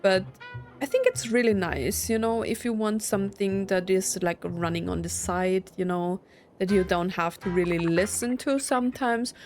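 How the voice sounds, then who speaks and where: A woman's voice: mid-range voice, a little hiss or hum. A young woman giving casual, informal Let's Play commentary on a story game, reacting as she goes. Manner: reads game dialogue aloud and reacts informally. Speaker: gaming video host playing a story game.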